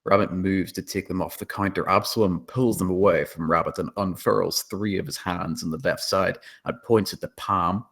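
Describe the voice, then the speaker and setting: A man with a low voice narrates a screenplay. He reads the action and scene descriptions as dramatic scripted storytelling. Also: fairly even intonation, moderate pace, hardly any pauses, fairly bright tone, slightly rough. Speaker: narrator reading stage directions.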